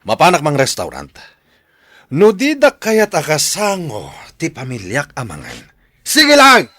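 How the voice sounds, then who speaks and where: Bossy angry dad, ilocano accent, gruff n sharp, big pitch swings, radio drama. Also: male voice, wildly swooping pitch, a little slow, dry recording, studio quality, exaggerated voice. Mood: furious. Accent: Filipino Ilocano. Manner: gruff, scolding, demanding. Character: domineering, angry father and husband, middle-aged. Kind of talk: radio serial drama acting.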